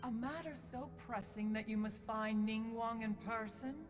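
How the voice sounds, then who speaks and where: A woman's voice: a little high, steady tone, moderate pace, big-room sound, over music, lightly acted. A woman playing a proud, doting immortal elder in a video game, with formal, eloquent and lofty speech. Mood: teasing, nostalgic. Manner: formal, lofty, calls herself "one". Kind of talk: video game cutscene voice acting.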